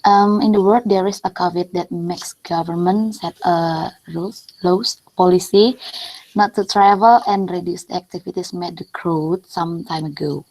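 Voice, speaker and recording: Young female participant, Indonesian accent, careful non-native delivery, lowish pitch, online discussion question. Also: fairly even intonation, normal speed, a little mellow, poor audio. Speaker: audience member asking a question. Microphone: video call.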